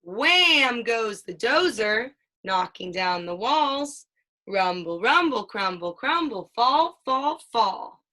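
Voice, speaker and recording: A mother reading a children's picture book aloud, with sing-song storytelling and drawn-out sound words. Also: fairly high pitch, very animated, sharp tone. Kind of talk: children's read-aloud with questions.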